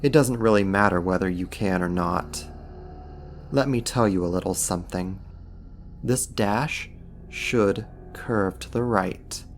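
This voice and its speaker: A man acts out a mighty archdemon in an ASMR roleplay, with a deep voice and an unhurried, deliberate delivery. He is smug, menacing and theatrically taunting, with music playing underneath. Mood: smug, mocking. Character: powerful male archdemon, mocking, menacing, smugly dominant.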